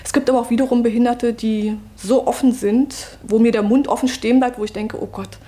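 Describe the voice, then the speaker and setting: Female interviewee, theatre worker; mellow, slightly high, earnest, halting, thinking aloud. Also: steady tone. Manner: earnest, halting, searching for words. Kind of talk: spontaneous interview reflection.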